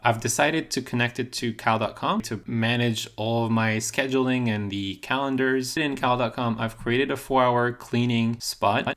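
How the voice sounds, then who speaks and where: A man presenting a software tutorial in an unscripted, conversational way, explaining things step by step. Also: medium pitch.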